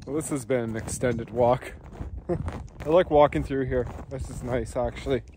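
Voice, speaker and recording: Thirties male vlogger, easygoing and rambling, mid-pitched, phone at arm's length, faint background noise. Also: moderate pace. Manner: relaxed, rambling with many fillers. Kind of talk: casual vlog chat. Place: in a truck and outdoors on a snowy trail. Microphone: phone held at arm's length.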